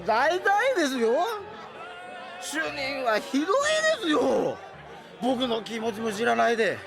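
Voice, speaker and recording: High-voiced, whiny lovesick young underling. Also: male voice, big pitch swings, a little slow, vocal fry, over a noisy crowd, heavily acted. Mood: tearful, indignant. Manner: whining, flustered, melodramatic. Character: whiny, lovesick, timid young male office subordinate, tipsy. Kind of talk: Japanese audio drama acting.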